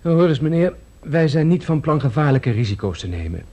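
Assured young-aviation inventor, rapid and husky, calm and practical, seventies radio play. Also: male voice, fairly high pitch, muffled recording. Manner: matter-of-fact, assured. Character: calm, confident male aeroplane pioneer. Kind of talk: 1970s Dutch radio drama acting.

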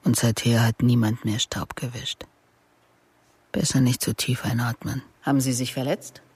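Low-voiced, clipped female detective. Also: fairly even intonation, normal speed, a little mellow, breathy edge, no room sound, heavily acted. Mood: probing. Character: observant, dry, sceptical female police detective. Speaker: actress playing the lead detective and narrator. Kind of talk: radio crime drama, terse inner monologue and questioning.

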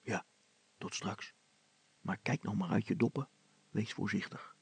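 A man playing a grumpy, cautious father who speaks in a hushed, grumbling tone. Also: fairly even intonation, a little slow, vocal fry, slightly rough, no room sound, full character voice. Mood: gruff, hushed. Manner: hushed, grumbling, low-key. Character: grumpy but cautious father, older than his boy.

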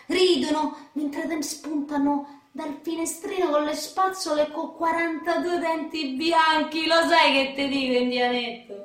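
In an echoing, roomy radio play, an aggressive young woman from Rome's rough outskirts. She is high-pitched, brash and foul-mouthed, throwing out slangy, sing-song taunts. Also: steady tone, measured pace, few pauses. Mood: ranting, hateful. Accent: Roman. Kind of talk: radio theatre acting.

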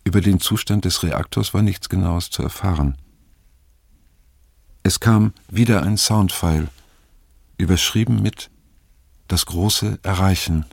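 Older man, reflective narrator, measured, gravelly, dry studio sound, audio drama. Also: low-pitched, a little mellow, no room sound, exaggerated voice. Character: reflective older male narrator recalling his youth. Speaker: first-person narrator.

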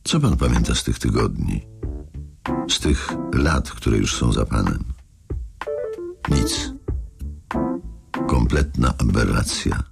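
An actor in a radio drama playing a menacing, philosophical older man who speaks with slow, polite needling. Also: expressive, exaggerated voice. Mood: menacing, musing. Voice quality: very deep gravelly voice. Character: menacing older married man with a grown daughter, coolly philosophical. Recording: studio radio play with music underneath.

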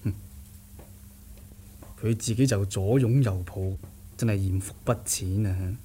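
A man's voice: lowish voice, measured pace, warm tone, faint music behind, close to an everyday voice. A male actor playing a determined, sardonic young martial-arts nephew in a radio drama, theatrical, scoffing and insistent. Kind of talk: Cantonese radio drama acting.

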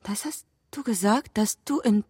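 An actress playing a hurt, insistent wife in a radio drama, speaking in a pressing, strained manner. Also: female voice, medium pitch, unhurried, darkish tone, breathy edge, no room sound, clean studio sound.

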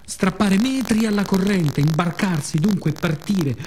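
A man's voice: high voice, normal speed, darkish tone, slight background noise. A man with a Roman Italian accent performs a scripted radio monologue in a reflective, wry, conversational storytelling manner, slipping into colloquial asides. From Rome, Italy.

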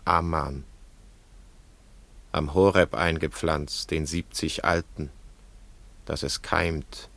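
A grave prophet in a poetic radio drama, speaks extremely slowly in a deep voice. He declaims with solemn emphasis, as if chanting to his people, in a dry studio sound. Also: creaky, a bit bright, dry recording, exaggerated voice. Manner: incantatory, deliberate, emphatic.

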